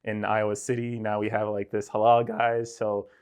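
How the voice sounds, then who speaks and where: A young man from Sudan, a podcast guest talking in a relaxed, rambling way and filling his speech with "like" and "right?". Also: lowish voice, normal speed, clean tone. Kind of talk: casual conversational interview.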